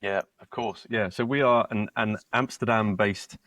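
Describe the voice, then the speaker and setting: Low-voiced British man with many restarts. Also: fairly even intonation, normal speed, a bit bright, a little hiss or hum. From Britain. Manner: hesitant, many repeated words and restarts. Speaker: co-founder and guest. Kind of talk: casual interview talk.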